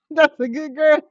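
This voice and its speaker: A game streamer reading a visual novel aloud in an informal, expressive way, breaking into slangy asides. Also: sits high, dry recording. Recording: muffled audio with music behind.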